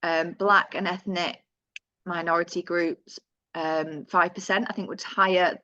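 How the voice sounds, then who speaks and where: A woman's voice: lowish voice, steady tone, unhurried, sharp tone, no room sound. A woman presenting survey findings in a webinar. She talks conversationally and corrects herself as she goes. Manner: conversational, with self-corrections and asides. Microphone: computer mic on a video call. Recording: dull, lo-fi webinar audio.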